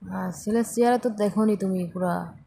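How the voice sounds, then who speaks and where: A woman's voice: mid-range voice, moderate pace, vocal fry, warm tone, a little hiss or hum. A young woman chats casually and bluntly, with a teasing manner, on a phone call recording. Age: young adult.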